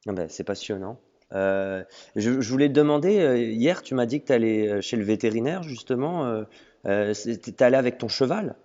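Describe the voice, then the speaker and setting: A man's voice: mid-range voice, animated, normal speed, dark tone. A man hosting a casual interview over an audio call, asking questions with frequent hesitation sounds. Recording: lo-fi audio with a long reverb.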